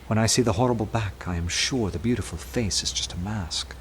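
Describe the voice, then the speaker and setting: Low-voiced, earnest detective played by a man. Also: fairly quick, a little hiss or hum, lightly acted. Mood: awed. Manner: intense, dramatic, hushed at times. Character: earnest, awestruck male detective narrating a nightmarish chase. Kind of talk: radio drama narration and dialogue.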